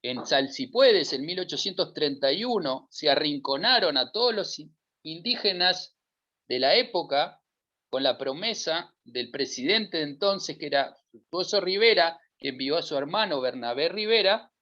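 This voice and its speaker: Emphatic Uruguayan man, bright lo-fi audio. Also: on the high side, lively intonation, normal speed. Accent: Uruguayan Spanish. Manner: emphatic, building lists of verbs. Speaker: panel speaker. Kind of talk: discussion talk. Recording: dull lo-fi audio.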